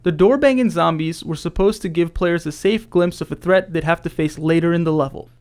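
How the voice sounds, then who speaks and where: A man's voice: on the high side, brisk, a little mellow. A man who works as a game developer, reading scripted commentary in an explanatory style.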